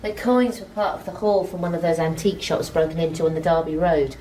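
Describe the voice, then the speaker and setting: An actress playing a matter-of-fact police detective constable in a radio drama. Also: female voice, lowish voice, fairly even intonation, brisk, mellow, slight background noise, natural voice, rough recording.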